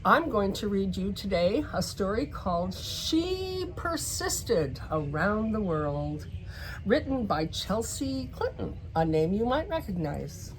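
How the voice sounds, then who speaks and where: A woman reads a picture book aloud to children, pausing for emphasis and speaking directly to her young listeners. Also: medium pitch, big pitch swings, rough recording. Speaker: children's story reader. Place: bookstore in a shopping center.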